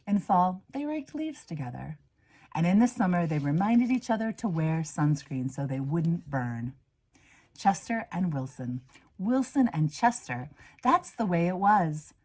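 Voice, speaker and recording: A woman reads a picture book to children in a fairly low voice with a husky edge. Her pitch moves widely and expressively, dropping into hushed asides and rising again for emphasis. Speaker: reader, minister. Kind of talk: children's storybook read-aloud.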